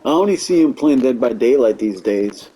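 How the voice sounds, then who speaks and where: A man's voice: mid-range voice, animated, moderate pace, mellow, faint music behind, rough recording. A man chatting casually while he plays a video game. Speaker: gamer. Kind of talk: casual chat while gaming.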